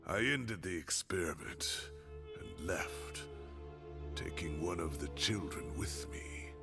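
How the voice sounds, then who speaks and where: An actor playing an older male scholar in a game, speaking slowly and earnestly. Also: low-pitched, huge pitch swings, music in the background, full character voice. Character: older male scholar, defiant former researcher.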